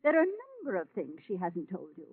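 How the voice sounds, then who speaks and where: A bossy possessive mom in an old radio play, formal n reproachful, very animated pitch, tinny muffled recording. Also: female voice, fast, husky, full character voice. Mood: stern, reproachful. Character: possessive, prying mother of a grown son. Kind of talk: 1940s-50s radio drama acting.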